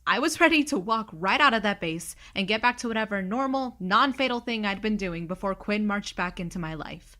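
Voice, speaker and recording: A woman's voice: mid-range voice, animated, a little fast, few pauses, pushed and bright. A woman playing a sarcastic, blunt, reluctant young space traveller in an audio drama. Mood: wry narration. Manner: snarky, exasperated, blunt. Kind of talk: audio drama acting and narration.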